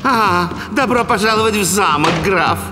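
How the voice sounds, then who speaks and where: Man acting cartoon pig governor, high animated voice, pompous, obsequious, long reverb, music behind. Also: normal speed, close to an everyday voice. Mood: fawning. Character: scheming, fawning pig town governor, self-important social climber.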